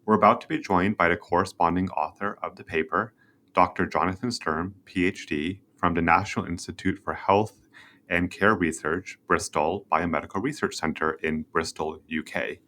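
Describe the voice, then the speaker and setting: A man with a low-pitched voice hosts a professional podcast. He speaks in a prepared, formal interview style, setting up topics and putting questions to a guest. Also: steady tone, normal speed, bright, forceful tone, slight background noise. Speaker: podcast host, journal web editor.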